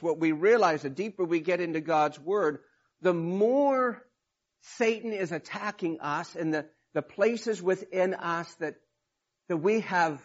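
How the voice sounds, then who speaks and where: A man preaching a sermon in a hoarse, raspy voice from a cold, talking informally with many restarts. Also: high-pitched, highs cut off. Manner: informal, rambling with restarts and fillers. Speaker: pastor.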